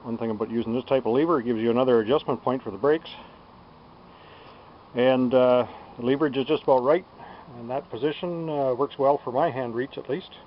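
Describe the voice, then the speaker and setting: Unhurried man, dull lo-fi recording. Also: medium pitch. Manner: unhurried, halting, thinking aloud. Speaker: DIY bicycle tinkerer presenting a build. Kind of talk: casual unscripted workshop demonstration. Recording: dull lo-fi sound with background noise.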